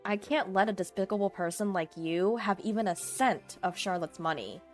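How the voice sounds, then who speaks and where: A woman's voice: mid-range voice, normal speed, faint music behind, close to an everyday voice. Woman acting a brave, indignant daughter-in-law. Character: brave, indignant woman defending mother-in-law's inheritance.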